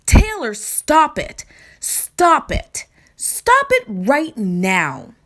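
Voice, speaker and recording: A woman voicing a firm but tender, reassuring mother in a children's storybook, with a deliberate, motherly manner. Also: sits high, huge pitch swings, fairly bright tone, full character voice. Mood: stern.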